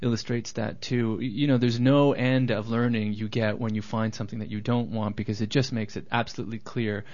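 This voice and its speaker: A man's voice: fairly low pitch, little pitch movement, brisk, few pauses, a little mellow. A man hosting a radio interview, asking friendly, probing questions. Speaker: radio show host. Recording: dull lo-fi radio recording.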